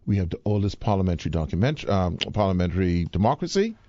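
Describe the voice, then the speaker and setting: A man's voice: low-pitched, sing-song, quick pace, mellow, no room sound, lo-fi sound. A man from the Bahamas hosting a live radio talk show, emphatic and playful.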